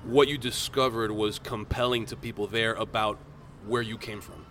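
A man's voice: lowish voice, steady tone, fairly bright tone, slight background noise. Thoughtful man co-hosting a podcast. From Miami. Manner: thoughtful, asks leading questions, measured. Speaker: podcast co-host. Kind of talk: casual podcast chat.

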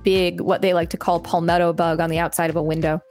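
A woman's voice: on the low side, level tone, quick pace, darkish tone, soft background music. A woman co-hosting a podcast, reading an article aloud with casual commentary. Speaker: podcast co-host.

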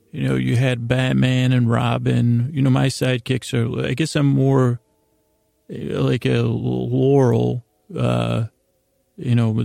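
A man hosting a bedtime podcast in a gravelly voice, rambling in a halting, self-deprecating way that trails off mid-sentence to lull listeners to sleep. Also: medium pitch, warm tone, no echo. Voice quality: gravelly, creaky. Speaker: podcast host.

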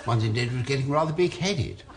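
A man's voice: mid-range voice, animated, quick pace, noisy background, voice pushed up, close to an everyday voice. A man playing an elderly, haughty queen in a comedy sketch, speaking in a plummy royal manner with dry disbelief. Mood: amused.

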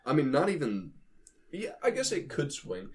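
A man's voice: a little high, huge pitch swings, normal speed. A man co-hosting a podcast, chatting casually and comically in a wry, matter-of-fact way. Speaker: podcast co-host. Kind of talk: casual comedic banter about monsters.

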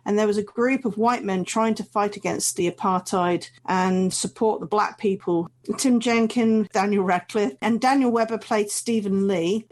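A woman's voice: medium pitch, darkish tone. A woman co-hosting a casual film review chat, chatty, with frequent restarts and easy laughter. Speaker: film review podcast co-host.

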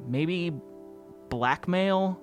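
A man's voice: high-pitched, very slow, faint music behind. A man playing an anxious narrator who confesses to a reality-bending game, in an earnest, reflective first-person audio drama monologue. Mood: reflective, uneasy.

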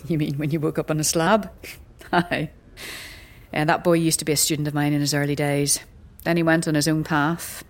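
A woman's voice: deep voice, level tone, normal speed, natural voice. A woman playing a sardonic older seer and teacher in a fantasy audio drama, dry, wry and gossipy. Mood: wry, grave. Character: sardonic older female undead-philosophy tavern seer and teacher.

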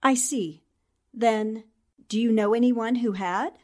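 A woman's voice: on the high side, slow pace, dark tone. An actress playing a determined, composed newspaperwoman who probes with sympathy, in 1940s-style radio drama. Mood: firm.

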